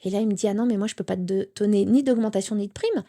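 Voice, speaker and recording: A woman's voice: mid-range voice, racing. A woman in her thirties, a guest telling a personal story candidly, acting out the lines of people she quotes. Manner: animated storytelling with quoted dialogue. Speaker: interview guest.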